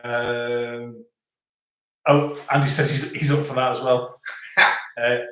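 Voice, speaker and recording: A man with a softened Scouse accent hosting a casual live stream, chatting and reading out viewer comments with frequent restarts. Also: mid-range voice, flat delivery, slow. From Liverpool, England. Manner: chatty, rambling, stops and restarts. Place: home in the French countryside. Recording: roomy echo.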